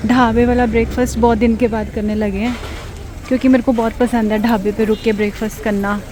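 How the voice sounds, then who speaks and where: A woman's voice: on the high side, level tone, brisk, noisy background. A woman vlogger talking casually to the camera in a chatty, homely way. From Punjab.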